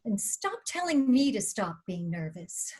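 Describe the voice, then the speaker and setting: A woman plays a husky-voiced female star in her fifties in a dramatic table read. She is jittery and tart, leaning hard on repeated words with a wry, bitter bite. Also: mid-range voice, big pitch swings, measured pace, darkish tone. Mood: nervous, irritated. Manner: biting, wry, emphatic repetition. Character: fifty-four-year-old Lucille Ball, nervous, tart-tongued, bitter screen star.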